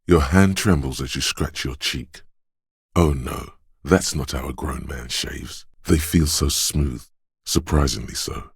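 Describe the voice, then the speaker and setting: An actor playing the coolly urging inner voice of a detective's reflexes in a video game, narrating to the listener in a deliberate, intimate, theatrical way. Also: male voice, no room sound, studio quality, heavily acted. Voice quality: very deep, smooth, resonant. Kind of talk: dramatic second-person game narration.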